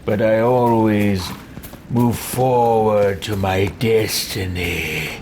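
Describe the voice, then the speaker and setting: A man's voice: on the low side, fairly even intonation, drawn-out words, darkish tone, a little hiss or hum, pitched below the performer's own voice. A man voicing a grandiose, possessive wizard hero in video game roleplay, speaking in pompous declarations. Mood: self-important.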